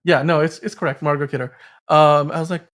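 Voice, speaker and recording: A man's voice: on the high side, steady tone, brisk, a bit bright. A man co-hosting a podcast, talking about film and TV in a casual, enthusiastic, rambling way. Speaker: podcast co-host.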